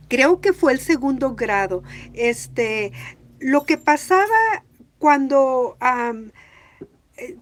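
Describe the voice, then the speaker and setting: A middle-aged woman from Mexico talking casually to camera, hesitant with many fillers and switching between English and Spanish. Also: sits high. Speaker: vlogger. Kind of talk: casual bilingual vlog talk.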